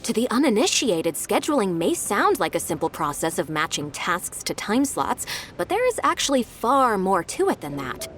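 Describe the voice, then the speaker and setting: A woman playing a diligent, eager young secretary in a video game, brisk and polite as she methodically explains things. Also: medium pitch, very animated, faint music behind, close to an everyday voice. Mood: brisk, earnest.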